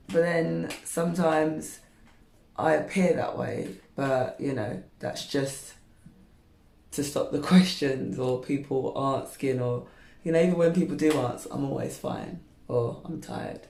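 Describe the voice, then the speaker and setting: A woman's voice: low-pitched, gravelly, warm tone, echoey room, poor audio. A woman in her thirties chatting candidly to camera while straightening her hair, rambling with lots of fillers. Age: 30s. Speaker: beauty vlogger.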